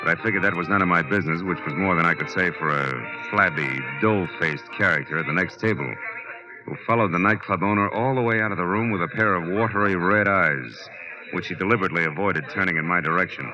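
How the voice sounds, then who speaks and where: A man's voice: deep voice, fast talker, lightly acted. An actor playing a wry, hard-boiled American private detective, narrating his case in a terse, sardonic way in a 1940s radio drama. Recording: muffled old radio recording with loud music.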